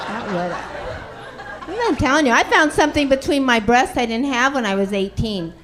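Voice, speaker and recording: A woman's voice: fairly high pitch, animated, moderate pace, noisy background. Frank, self-mocking middle-aged woman. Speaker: stand-up comedian. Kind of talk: stand-up set.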